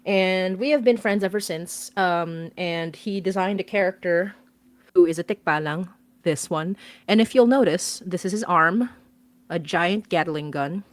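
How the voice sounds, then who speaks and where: A woman's voice: fairly low pitch, measured pace, no room sound. Giggly woman chatting on a stream. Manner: laughing, animated, lots of fillers. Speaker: podcast host and guest writer. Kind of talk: casual stream chat.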